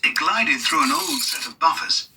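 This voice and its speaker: A man reading a scripted children's story as narrator, in an expressive, dramatic read-along style. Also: a little high, moderate pace, far from the mic, background noise.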